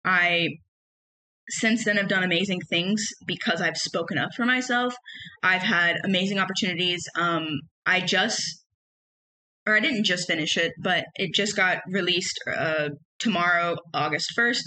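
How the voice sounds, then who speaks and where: A young woman with a loud, emphatic voice, telling personal stories in a casual solo podcast. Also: on the low side, moderate pace, poor audio. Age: young adult. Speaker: podcast host.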